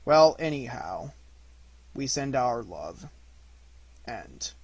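A man voicing a gloomy, self-important old donkey in a children's storybook, halting and pompous, muttering asides. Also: medium pitch, sing-song, breathy edge, dry recording, heavily acted, poor audio. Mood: halting, awkward.

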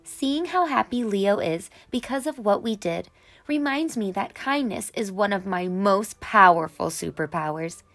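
A young adult woman narrating a picture book for children in an animated, expressive and carefully enunciated way. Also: mid-range voice, a little slow, soft background music. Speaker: children's read-aloud storyteller.

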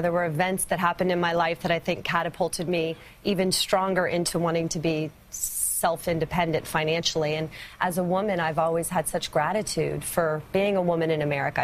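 A woman in her forties on a TV panel, speaking earnestly and enthusiastically. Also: lowish voice. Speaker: entrepreneur guest. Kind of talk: TV panel discussion. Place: TV studio set.

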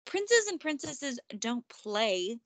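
A woman's voice: a little high, very animated, takes it slowly, no echo, heavily acted. A woman voicing a young frog prince, haughty and insistent, in a storybook reading. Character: princely young male frog, pompous and pleading.

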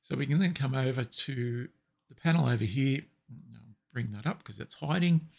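A man with a raspy voice explaining software steps in a relaxed, step-by-step tutorial. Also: mid-range voice, moderate pace. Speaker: tutorial presenter. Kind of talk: screen-recorded software tutorial.

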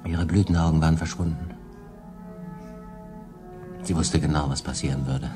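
An actor playing an earnest, remorseful castaway sailor in a radio play, speaking dramatically in short, halting phrases. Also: male voice, a bass voice, steady tone, music in the background. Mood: grief, guilt. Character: stranded solo sailor, brooding, remorseful, earnest man.

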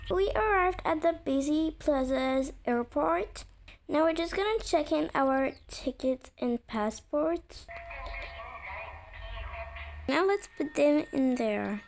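A woman narrating an animated roleplay story and voicing its characters. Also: high voice, slow pace, creaky, a little hiss or hum, rough recording.

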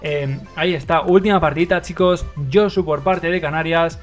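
Excitable Spanish man casting a game. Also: fairly high pitch, normal speed, faint music behind. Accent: Spain Spanish. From Madrid, Spain. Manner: excitable play-by-play, many fillers. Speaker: livestream host and caster. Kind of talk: live game commentary.

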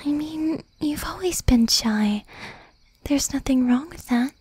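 A woman playing a tender, possessive young demon girlfriend in an affectionate, whispered ASMR roleplay, soft and breathy. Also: sits high, slow pace, no room sound. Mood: relieved, adoring.

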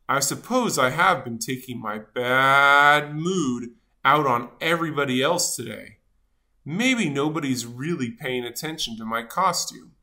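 A woman's voice: low voice, sharp tone, voice pushed down, full character voice. A woman voicing a grumpy, dramatic but good-hearted male sunflower seed in a children's storybook, with theatrical, self-important delivery. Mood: rueful. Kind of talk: animated children's storybook read-aloud.

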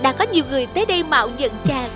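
A woman playing a gentle, devoted young maiden in an animated fairy tale, speaking with formal courtesy. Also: high voice, very fast. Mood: tender. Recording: clear music behind the voice.

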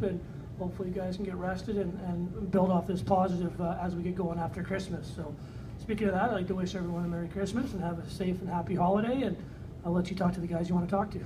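A middle-aged man, an assistant hockey coach, giving plain-spoken post-game remarks peppered with "you know". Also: sits high. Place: indoor press conference room. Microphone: far camera. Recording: distant camera mic, noisy room.

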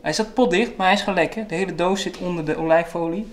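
A man's voice: high voice, quick pace, slight background noise. A young man with a Dutch accent, chatting and narrating his road trip vlog while hiking, jokey and chatty. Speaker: vlogger.

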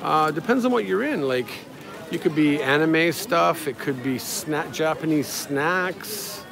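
A man's voice: on the high side, animated, unhurried, creaky. Man rambling casually on a crowded street. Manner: rambling, offhand narration while walking. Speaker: live-streaming travel vlogger and guide. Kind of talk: casual walking-tour commentary. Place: busy outdoor market street. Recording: outdoor street with crowd and traffic. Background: street crowd, passing vehicles.

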